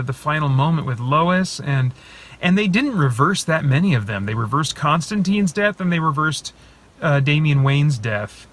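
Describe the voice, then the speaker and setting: A man in his thirties giving a casual, rambling film review from inside a parked car, recorded on a phone held at arm's length. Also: on the high side. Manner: animated, rambling with many fillers and restarts. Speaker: vlogger reviewing films.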